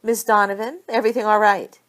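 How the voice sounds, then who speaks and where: A woman's voice: medium pitch, steady tone, brisk, a bit bright, voice pushed up, lightly acted. A woman reading the part of a devoted female chief executive in an audiobook, polite and attentive.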